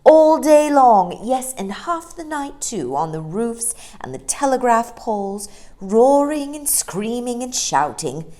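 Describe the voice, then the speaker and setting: A woman voicing a brisk, sharp-tongued nanny who scolds in a storybook reading. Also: fairly high pitch, big pitch swings, pitched above the performer's own voice. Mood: scolding.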